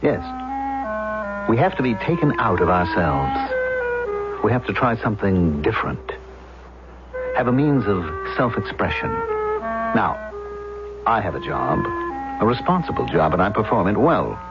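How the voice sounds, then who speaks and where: A man's voice: lowish voice, sing-song, measured pace, pauses often. An actor playing a pompous, talkative middle-aged man in a 1970s radio drama, expansive and insistent. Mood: self-satisfied. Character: pompous, garrulous middle-aged man who plays invented roles. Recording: dull vintage radio, loud music behind.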